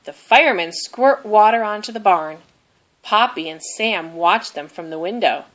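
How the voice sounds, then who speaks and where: A woman narrating a children's storybook aloud in an expressive, deliberate way. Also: medium pitch, poor audio. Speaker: storybook reader.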